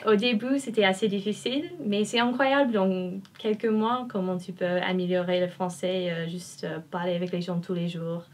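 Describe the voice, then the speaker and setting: A woman's voice: medium pitch, fairly even intonation, moderate pace, hardly any pauses. A young woman from Australia, an exchange student speaking with enthusiasm but hesitating in a second language. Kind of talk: testimonial interview.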